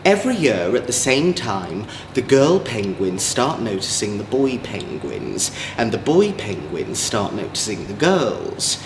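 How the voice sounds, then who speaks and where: Male drag storyteller for children's tales; camp, unhurried, highly expressive pitch, playful asides. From England. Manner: campy, playful, exaggerated, chatty asides to young listeners. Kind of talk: theatrical children's story reading. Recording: roomy echo, faint background noise.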